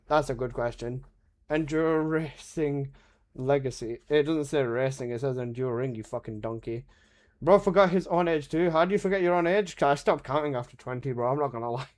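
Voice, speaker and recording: A man in his early twenties chats live with his stream audience while he plays. His talk is casual, teasing and full of swearing and quick laughs. His voice sits slightly on the high side for a man. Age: 20s. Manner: joking, swearing banter with frequent laughs. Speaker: game streamer.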